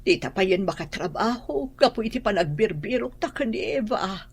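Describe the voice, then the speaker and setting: A woman's voice: medium pitch, lively intonation, moderate pace, vocal fry, slightly rough. A woman playing a weary Ilocano mother. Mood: worried. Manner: tired and worried, sighing. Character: weary mother searching for her lost child. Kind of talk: radio drama acting.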